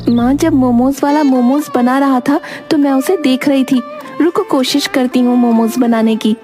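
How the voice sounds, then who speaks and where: A woman's voice: high-pitched, moderate pace, warm tone, close to an everyday voice. A woman voicing a caring, eager young village daughter in a cartoon story. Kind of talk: cartoon moral story acting. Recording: music behind the voice.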